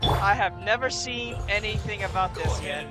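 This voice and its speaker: A woman's voice: mid-range voice, lively intonation, moderate pace. A woman streaming a video game, commenting casually and reading dialogue choices aloud. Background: loud game music.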